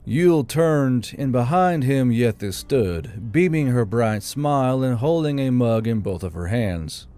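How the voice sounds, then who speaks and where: A man's voice: medium pitch, lively intonation, unhurried, mellow. A man reading scripted audiobook narration of a story.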